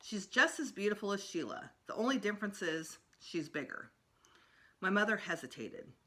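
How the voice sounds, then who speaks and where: A woman's voice: medium pitch, very animated, moderate pace, pushed and bright. A woman reading a children's book aloud as a storytime narrator, expressively. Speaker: storytime reader.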